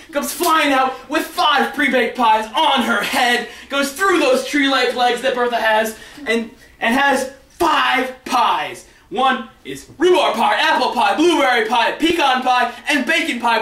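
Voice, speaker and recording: A male storyteller with a notably high voice, heard from a distance in an echoey room. He rambles through comic tales with excitable, dramatic build-ups. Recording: roomy echo, far from the mic.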